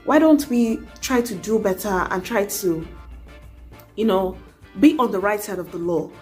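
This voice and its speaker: Woman, young adult, earnest, exhorting vlog talk, middle-pitched voice. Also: a little slow, darkish tone, faint music behind. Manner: earnest, exhorting, frequent "you know". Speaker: vlogger. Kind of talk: casual advice vlog to camera.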